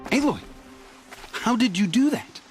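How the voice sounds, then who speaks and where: A man acting a gentle, awed young tribesman in a video game cutscene. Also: extremely high pitch, expressive, measured pace, soft background music.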